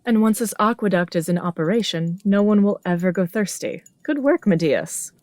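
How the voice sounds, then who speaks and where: A woman's voice: medium pitch, expressive, moderate pace, a little mellow, dry recording, close to an everyday voice. A woman playing an earnest, unpretentious queen in a fantasy audio drama, plain-spoken and firm. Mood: earnest, curious. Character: earnest, unpretentious warrior queen, impatient with ceremony.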